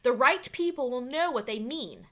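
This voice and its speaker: A woman's voice: high voice, lively intonation, normal speed, fairly bright tone. Woman playing a wise, commanding storybook enchantress. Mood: solemn, instructing. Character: wise, commanding enchantress librarian giving solemn missions.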